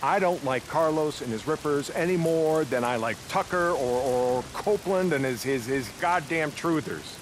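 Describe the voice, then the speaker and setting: An older American man playing a weary, peace-minded leader of a survivor camp in a game cutscene, speaking plainly and haltingly. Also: a little high, a little hiss or hum, lightly acted, poor audio. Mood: earnest, firm. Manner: halting, reflective, plain-spoken. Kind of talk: dramatic video game cutscene acting.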